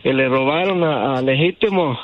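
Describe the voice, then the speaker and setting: A man's voice: mid-range voice, normal speed, highs cut off, soft background music. A man calling in to a radio show, rambling with many restarts. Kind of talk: call-in radio chat.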